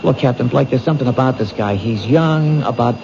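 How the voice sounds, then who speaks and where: An actor playing an earnest, anxious American police detective in a radio drama, with a hesitant, halting delivery. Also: male voice, medium pitch, gravelly, lightly acted. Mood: insistent. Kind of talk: old-time radio drama acting. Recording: noisy, muffled vintage radio broadcast.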